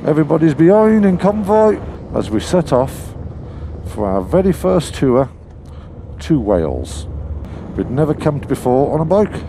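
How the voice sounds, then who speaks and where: A man's voice: on the high side, very animated, measured pace. A British man vlogging while riding a motorcycle, talking casually and rambling in a self-mocking way. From Britain. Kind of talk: casual helmet-cam riding commentary. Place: on a moving motorcycle outdoors. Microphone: helmet-mounted mic. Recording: wind and road noise behind.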